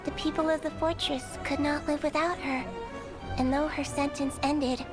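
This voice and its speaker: Woman voicing a little girl healer, soft and sweet, high voice, game music behind. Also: flat delivery, moderate pace, lightly acted. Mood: storytelling. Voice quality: soft, sweet and young-sounding. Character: small gentle girl doctor, kind and earnest. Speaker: game character. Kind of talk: game cutscene dialogue.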